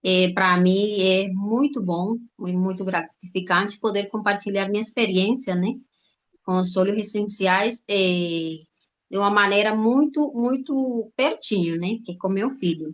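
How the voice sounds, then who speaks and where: Muffled lo-fi online call, woman, Cuban accent, native Spanish speaker, medium pitch, halting informal testimonial. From Cuba. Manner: halting, with frequent check-in tags. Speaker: guest speaker, doctor. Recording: dull lo-fi online-call audio.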